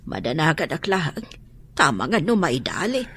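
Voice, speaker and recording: Protective Ilocano mother, radio drama. Forceful, highly animated delivery, worried and exasperated. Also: normal speed, slightly rough, full character voice. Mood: concerned. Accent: Filipino, Ilocano.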